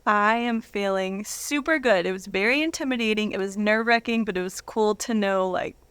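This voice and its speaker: An adult woman, a guest and reader, speaking at a medium pitch. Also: moderate pace, fairly bright tone, smooth, no echo.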